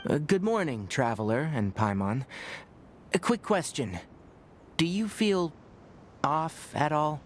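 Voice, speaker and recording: An actor voicing a calm young scholarly male forest ranger in a video game, speaking in a measured, thoughtful, gently lecturing way. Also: fairly high pitch, slight background noise. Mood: practical.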